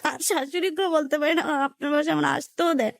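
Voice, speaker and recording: A young Bangladeshi woman speaks in a high voice, recorded close and dry. She tells a personal story in everyday colloquial language, slipping into reported dialogue as she narrates. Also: steady tone, fairly quick, gravelly, dry recording. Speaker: guest telling her life story.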